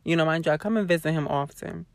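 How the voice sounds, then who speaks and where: A woman YouTuber ranting casually to her viewers, sassy and blunt, with a hoarse voice and a lot of cursing. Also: low-pitched, fast talker. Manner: sassy, blunt, swears freely, talks straight to viewers. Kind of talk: casual vlog rant to camera.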